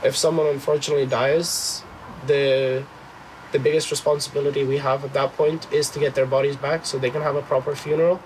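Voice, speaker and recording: A twenty-year-old man with a Dublin accent, a soldier speaking haltingly in an informal interview. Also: medium pitch, level tone, a little mellow, a little hiss or hum, low-quality recording. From Dublin, Ireland.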